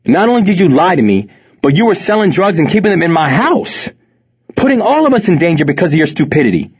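A man's voice: a little high, sing-song, quick pace, breathy edge, pitched above the performer's own voice, exaggerated voice. A man voicing a stern, unyielding mother in an audiobook, firm, cool and commanding. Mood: sternly angry. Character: stern, unyielding mother scolding her teenage son. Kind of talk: audiobook character voice. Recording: dull, band-limited audio.

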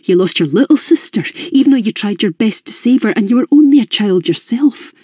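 A woman reading an audiobook, voicing a caring man who speaks in a halting, emotional way. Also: high voice, lively intonation, warm tone, husky, no room sound, clean studio sound, heavily acted. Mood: indignant. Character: earnest caring man, angry on her behalf.

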